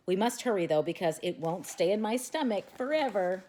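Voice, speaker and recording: A woman's voice: mid-range voice, expressive, moderate pace, warm tone, slight background noise, close to an everyday voice. A woman voicing a clever scheming pet dog.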